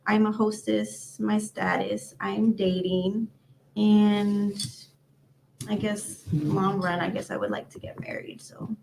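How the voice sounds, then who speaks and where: A woman in her late twenties introducing herself on a podcast panel, soft and tentative. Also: medium pitch, little pitch movement, slow pace, gravelly, noisy background. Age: late 20s. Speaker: panel guest.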